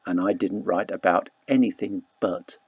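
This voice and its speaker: A man narrating a first-person literary novel as an audiobook, reading in a measured, deliberate way. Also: sits low, breathy edge, no room sound.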